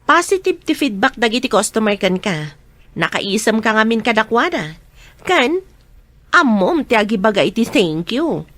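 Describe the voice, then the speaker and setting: A woman playing a brisk young restaurant owner. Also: a little high, big pitch swings, a bit bright. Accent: Ilocano. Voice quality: brisk, businesslike.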